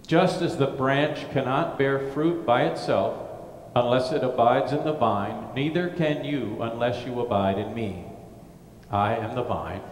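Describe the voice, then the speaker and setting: A man's voice: medium pitch, darkish tone, a little hiss or hum. A middle-aged male pastor giving a gospel reading and sermon in a brick church, chatty and informal, with asides and restarts. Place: brick-walled church sanctuary. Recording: echoey church with long reverb.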